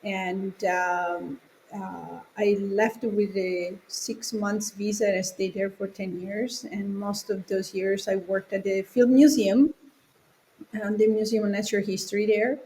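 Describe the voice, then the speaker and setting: A woman in her forties with an Italian accent, answering conversationally as an interview guest in halting phrases. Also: medium pitch, steady tone, creaky, dark tone, slight background noise, rough recording. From Italy. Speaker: podcast guest, children's author.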